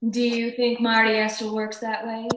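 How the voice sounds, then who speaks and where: A woman playing a tough, sharp-tongued femme fatale in an old-time radio detective play, curt and sardonic. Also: a little high, monotone, moderate pace, slight background noise, natural voice. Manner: curt, hard-boiled, sardonic. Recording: echoey room sound.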